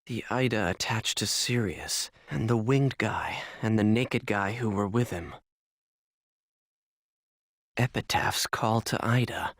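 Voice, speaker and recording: A curt, sarcastic young hero. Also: male voice, fairly low pitch, slow pace, dry recording, studio quality. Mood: thoughtful. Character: brusque young male player-fighter, aloof and sarcastic.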